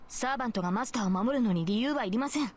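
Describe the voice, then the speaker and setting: A woman voicing an earnest, stern female servant spirit, a loyal swordswoman, with a breathy voice in Japanese visual novel acting. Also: fairly high pitch, lively intonation, very fast. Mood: earnest, insistent.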